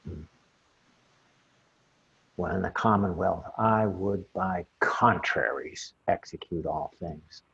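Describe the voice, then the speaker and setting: Theatre role: musing old courtier, played by a man, low and gravelly, very raspy, declaiming haltingly. Dull video-call audio. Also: heavily acted. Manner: halting, declaiming in short phrases. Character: musing old courtier describing his ideal utopia. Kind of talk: staged Shakespeare monologue.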